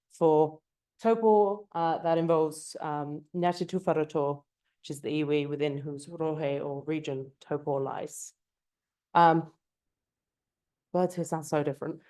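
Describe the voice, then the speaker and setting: Low voiced woman from england giving a research seminar, lots of ums. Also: darkish tone, clear, resonant tone. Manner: hesitant, many ums and uhs. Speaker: guest speaker, postdoctoral researcher. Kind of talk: academic seminar talk.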